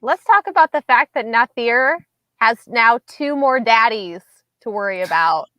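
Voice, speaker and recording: A woman co-hosting a casual book chat, animated and emphatic. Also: on the high side, measured pace, no echo, muffled recording. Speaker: book podcast co-host.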